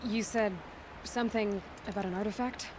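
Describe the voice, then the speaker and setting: An actress playing an inquisitive young huntress in a video game, speaking in a direct, matter-of-fact, slightly dry way. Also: female voice, mid-range voice, fairly even intonation, moderate pace, noisy background, lightly acted. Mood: dry, practical. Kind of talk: naturalistic video game dialogue.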